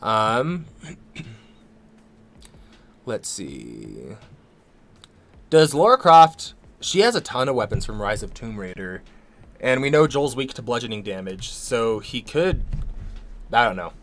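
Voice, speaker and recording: A man co-hosting a casual livestream Q&A, hoarse from a cold and losing his voice, talking in a rambling way with many ums and restarts. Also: medium pitch, big pitch swings, takes it slowly, long pauses, fairly bright tone. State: losing his voice, a little sick. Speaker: co-host of a livestream Q&A.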